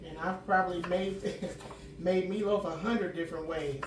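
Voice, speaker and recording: Slightly hoarse woman cooking at home. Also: fairly low pitch, fairly even intonation, normal speed, background noise. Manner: chatty, homey, clears throat often. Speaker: home cooking channel host. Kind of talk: casual cooking chat. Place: home kitchen. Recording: roomy kitchen sound from a distant mic.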